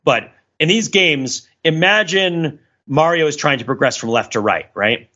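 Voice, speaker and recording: A man's voice: a little high, animated, measured pace, sharp tone, muffled recording. A man co-hosting a podcast, explaining things in a casual, discursive way and often checking in as he goes. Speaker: podcast co-host.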